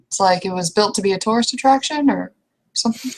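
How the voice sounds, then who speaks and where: A woman playing a quiet, distracted, naively curious woman in improvised roleplay, speaking hesitantly and questioningly. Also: medium pitch, fast talker, natural voice. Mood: naive curiosity. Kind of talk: improvised in-character roleplay dialogue.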